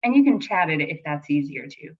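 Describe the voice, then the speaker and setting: A woman with a slightly raspy voice opening her presentation in a friendly, conversational way. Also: sits low, very animated, brisk, dull audio. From Colorado, United States. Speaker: guest presenter.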